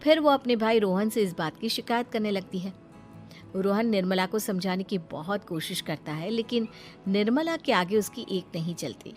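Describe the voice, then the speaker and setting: A woman's voice: mid-range voice, moderate pace, faint music behind. A woman reading scripted story narration.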